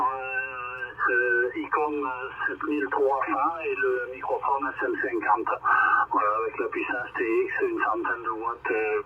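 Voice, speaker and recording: Male ham radio operator, Quebec French accent, halting and chatty, dull distant transmission buried in heavy noise. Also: medium pitch. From Quebec, Canada. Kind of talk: casual radio contact with signal reports.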